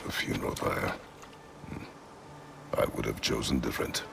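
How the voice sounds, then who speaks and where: An actor playing a stern, grieving middle-aged warrior husband in a video game, terse and solemn, with a gravelly voice. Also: male voice, noisy background, exaggerated voice. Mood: guarded, solemn. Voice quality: very deep, gravelly. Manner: terse, slow to open up, stoic. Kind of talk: dramatic video game acting.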